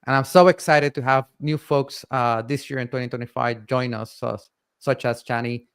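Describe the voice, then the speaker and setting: Smooth-voiced man with halting delivery. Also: mid-range voice, fairly even intonation, fairly bright tone. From Puerto Rico. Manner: halting, many fillers and restarts. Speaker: community host and guest presenter. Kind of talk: casual live-stream chat.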